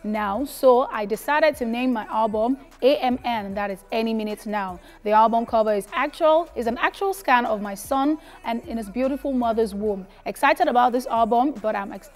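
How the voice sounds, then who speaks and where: A woman co-hosting an entertainment show, chatting casually and reading out news items. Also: a little high. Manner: echoes co-hosts' words, reads news items briskly. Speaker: co-host.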